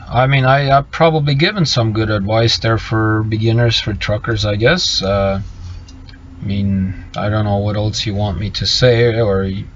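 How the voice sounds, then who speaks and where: A middle-aged man chats casually on a live stream from his parked truck, his voice at an ordinary middle pitch. He talks in halting stretches, restarting sentences and reaching for words. The sound is slightly muffled, with faint noise underneath. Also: a bit bright. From Bolivia. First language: Low German. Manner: halting, many fillers and restarts. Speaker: trucker vlogger reading live chat.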